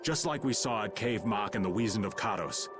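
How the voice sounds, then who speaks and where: A man's voice: lowish voice, expressive, a little fast, over music, lightly acted. An actor playing a cool, cynical man in a video game, wry, matter-of-fact and understated. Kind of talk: scripted video game acting.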